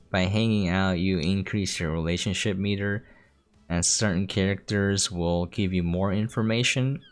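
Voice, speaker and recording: Low-voiced woman commenting on gameplay. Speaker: game preview host. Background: game music.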